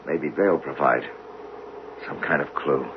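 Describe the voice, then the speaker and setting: A man acting in a 1970s radio drama as a driven, learned geologist, speaking intensely and deliberately with theatrical pauses. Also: very deep voice. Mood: curious, absorbed. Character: driven, learned geologist obsessed with solving a buried mystery. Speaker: actor in a radio play. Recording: dull, noisy 1970s radio recording.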